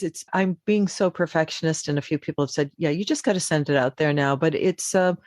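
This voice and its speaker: A woman from Boston, a voice actor, chatting as an interview guest with quick, animated restarts. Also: low voice, dry recording, studio quality. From Boston, United States. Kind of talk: conversational interview.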